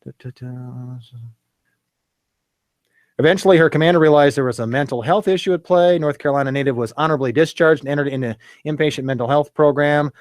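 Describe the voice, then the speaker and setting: A man's voice: a little high, fairly quick, no room sound, clean studio sound. A man hosting a local radio show, chatting casually and reading a story aloud with many fillers and restarts.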